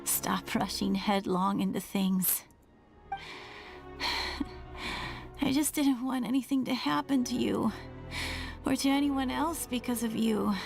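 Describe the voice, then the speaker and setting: A woman voicing a gentle, frail, self-blaming younger sibling in a dramatic video game, with sighing, halting, earnest speech. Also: high voice, gravelly, heavily acted. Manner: slow, halting, earnest confession.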